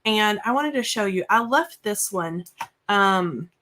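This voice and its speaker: A woman's voice: medium pitch, expressive, slow, pushed and bright, a little hiss or hum. A woman in her forties, congested from a cold, hosting a craft livestream in chatty, rambling casual talk with many fillers and restarts.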